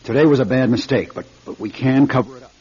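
A man playing an exasperated American campaign manager in a classic radio drama, husky, insistent and pleading. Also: medium pitch, quick pace, mellow. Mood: urgent, pleading. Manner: insistent, pleading, emphatic repetition. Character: exasperated, urgent male political campaign manager. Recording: dull lo-fi radio recording.

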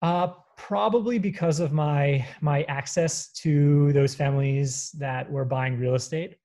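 A young man of background telling about his finance career in an interview, pausing often with fillers and restarts. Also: fairly high pitch, steady tone, mellow. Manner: hesitant, many fillers and restarts. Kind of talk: conversational interview.

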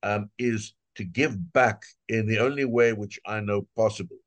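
Wry older man, low and dry. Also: steady tone, moderate pace, dry recording. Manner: wry and emphatic, piling up repeated phrases. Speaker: retired translator, guest. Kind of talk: conversational interview answers.

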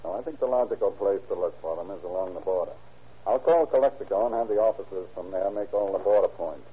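Businesslike sheriff, tinny old radio. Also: male voice, sits low, husky. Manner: measured, businesslike. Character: calm, authoritative male county sheriff. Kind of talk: old-time radio crime drama acting.

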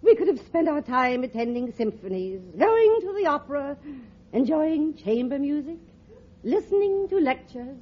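An actress playing a genteel, coy and wary older widow in a 1940s radio comedy. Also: female voice, sits high, very animated, normal speed. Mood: wistful, genteel. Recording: dull old radio recording, audience murmur.

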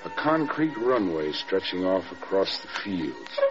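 Low-voiced war veteran, vintage radio. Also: male voice, big pitch swings. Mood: wistful. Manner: measured, wistful storytelling. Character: reflective American former army major recalling the war. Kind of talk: vintage radio drama narration. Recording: muffled old radio recording with loud music.

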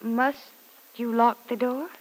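An actress in an old-time radio drama playing a troubled, principled working woman, earnest and gently firm. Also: fairly high pitch, steady tone, takes it slowly, rough recording. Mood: wary, polite.

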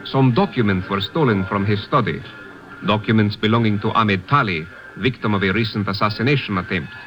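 A man's voice: fairly low pitch, brisk, lightly acted. A man playing a stern Egyptian police lieutenant in an old-time radio drama, formal and official in his phrasing. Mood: official, accusing. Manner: clipped, official, accusing. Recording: dull vintage radio, music behind.

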